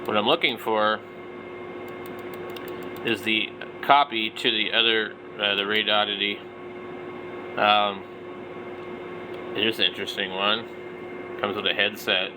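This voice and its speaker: Low-voiced middle-aged man over background noise. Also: fairly even intonation, a little slow, long pauses. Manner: blunt, opinionated, mildly slangy. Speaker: hobby radio vlogger. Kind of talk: casual, unscripted product browsing commentary.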